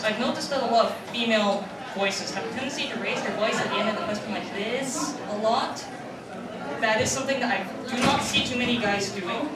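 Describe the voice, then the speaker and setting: A woman speaking on a convention panel as an amateur voice actor, taking audience questions. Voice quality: somewhat low natural speaking voice, pitched down by habit. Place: large echoing convention panel room. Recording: echoey hall, distant mic, clear background noise.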